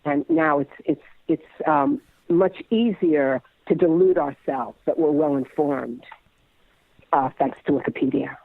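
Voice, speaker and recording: A man answers interview questions reflectively and haltingly, with a bookish turn. His voice is a little high and noticeably creaky. The sound is very dry and somewhat dull. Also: no echo. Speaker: interview guest.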